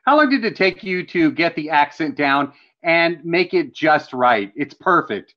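A man's voice: a little high, animated, a little slow, lo-fi sound. A male interviewer on a video call, friendly and enthusiastic, using slangy praise for his guest. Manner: friendly, enthusiastic, calls guest "bud" and "dude". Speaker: entertainment interviewer. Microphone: webcam on video call.

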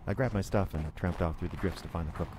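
An actor playing a reflective storyteller, giving scripted first-person narration for a horror drama. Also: male voice, sits low, fairly even intonation, quick pace, noisy background, close to an everyday voice. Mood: ominous, tense. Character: reflective male storyteller, repairman recalling eerie past. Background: music.

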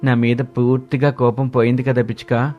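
A man's voice: mid-range voice, level tone, moderate pace, darkish tone, lightly acted. A man voicing a suspicious, stubborn crow husband in a cartoon moral story, with a grudging manner. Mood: coaxing, affectionate. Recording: music bed behind voice.

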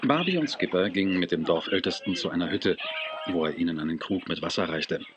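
A man's voice: low voice, lively intonation, fairly quick, highs cut off, noisy background. A man acting as the storyteller of a children's adventure audio drama, reading scripted narration. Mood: narrating.

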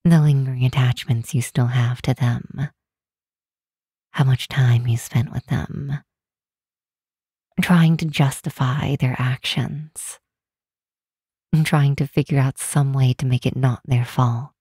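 Woman as a very deep-voiced, breathy demon queen. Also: steady tone, no room sound, clean studio sound, full character voice. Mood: gentle, consoling. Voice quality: soft, breathy, hushed. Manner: slow, deliberate, soothing. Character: regal, protective demon queen, gently reassuring. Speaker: ASMR roleplay voice actor. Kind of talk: ASMR roleplay, comforting monologue.

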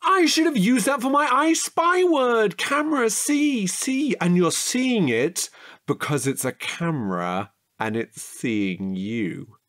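An actor playing a chatty, childlike, curious man who is a bit slow-witted, in a playful comedic audio drama. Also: high voice, exaggerated voice. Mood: excited. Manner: playful, excitable, drawn-out delivery.

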